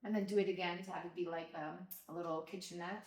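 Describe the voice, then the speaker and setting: A woman's voice: fairly low pitch, fairly even intonation, quick pace. A woman from Albania hosting a podcast, telling her childhood story in a reflective, casual way with many fillers. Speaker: podcast host, mother of co-host. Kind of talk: casual personal conversation. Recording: roomy, distant from the mic.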